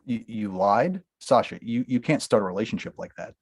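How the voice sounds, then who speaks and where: A man's voice: fairly low pitch, expressive, a little fast. A man reads a script at a table read. His delivery is eager and teasing, and he trips over his words as he pushes ahead. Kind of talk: script table read, playing a nosy friend.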